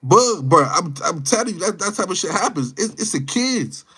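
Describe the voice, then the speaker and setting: Man, twenties, high voice, livestream host, casual, slangy, rambling, repetitive phrasing. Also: sing-song, normal speed, sharp tone, breathy edge. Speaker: livestream host chatting with viewers. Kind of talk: casual live chat, off-the-cuff opinion.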